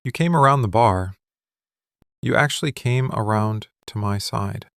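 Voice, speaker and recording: A man playing a possessive, tender mafia boss in a boyfriend ASMR roleplay, speaking soothingly and deliberately in short, hushed phrases. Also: fairly low pitch, creaky, clear, resonant tone, no echo, studio quality. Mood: brooding, intense. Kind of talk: boyfriend ASMR roleplay, slow intimate monologue.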